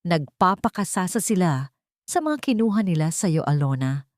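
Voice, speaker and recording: A Filipino woman voicing a calm, supportive older male mentor in a drama story. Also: on the low side, moderate pace, no room sound, studio quality, close to an everyday voice.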